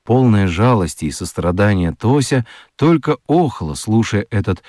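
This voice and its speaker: A man narrating a children's radio story in an expressive, scripted storytelling style. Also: on the low side, normal speed, no echo, clean studio sound.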